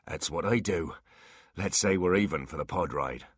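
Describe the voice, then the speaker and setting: A crafty dwarf, played by a male narrator, talking in a low male voice. He is wry and coaxing, a sly fantasy creature from a storybook, recorded close and dry. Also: normal speed, husky, dry recording.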